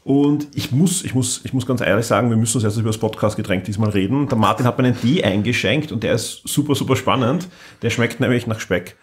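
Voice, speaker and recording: A man's voice: medium pitch, fast. A man, chatting casually as a podcast host and often repeating words as he starts sentences. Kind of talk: casual chat.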